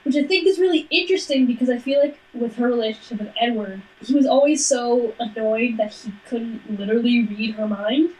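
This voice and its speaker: A woman's voice: a little high, brisk, hardly any pauses, a little mellow, low-quality recording. A woman co-hosting a podcast, discussing a book casually in a playful, sarcastic, slangy way. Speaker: podcast co-host.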